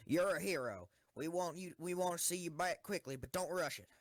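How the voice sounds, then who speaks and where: A woman's voice: fairly low pitch, normal speed, no echo, lightly acted. A woman acting a ruthless, hot-tempered young female tank commander in an audio drama, commanding and intense. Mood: controlled concern.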